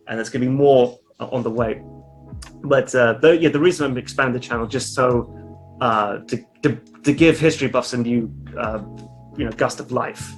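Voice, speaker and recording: A man in his thirties chats as a guest over a webcam from his living room. He talks in an easygoing, self-deprecating way, stalling and restarting as he goes, at a middling pitch. Faint music plays underneath. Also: fairly bright tone. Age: 30s. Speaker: YouTube creator guest. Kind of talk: casual video-call interview. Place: home room with sofa.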